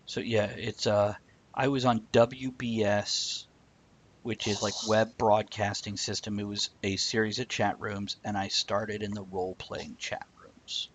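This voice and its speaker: A man's voice: on the low side, level tone, measured pace. A man hosting a casual, conversational live-streamed talk show.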